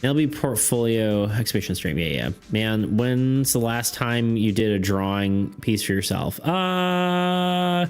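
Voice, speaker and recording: A man's voice: medium pitch, expressive, measured pace, hardly any pauses, smooth. A young man streaming casually about art and game design, rambling with lots of fillers and laughs, saying he is losing his voice. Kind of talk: casual live-stream chat. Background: faint background music.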